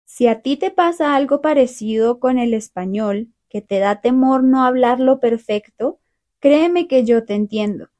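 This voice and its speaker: A young woman hosting a learner podcast, talking through a calm, clear, scripted monologue. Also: high-pitched, measured pace. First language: Spanish. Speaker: podcast host.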